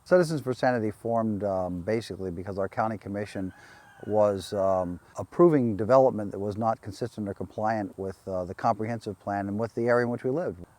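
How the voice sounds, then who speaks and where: A man explaining as a citizen activist in an interview, halting and deliberate. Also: lowish voice, darkish tone.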